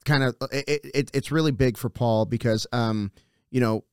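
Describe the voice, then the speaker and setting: A man's voice: mid-range voice, normal speed, clear, resonant tone, dry recording, studio quality. A man in his fifties hosting a podcast, chatty and friendly with stammering restarts. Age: 50s. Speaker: podcast host. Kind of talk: casual interview chat.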